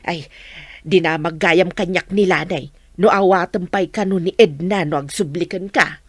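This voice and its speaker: A woman playing an expressive, conversational village mother in a Filipino comedy radio drama. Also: on the low side, normal speed, husky, dry recording. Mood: concerned. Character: village mother talking over her son's household.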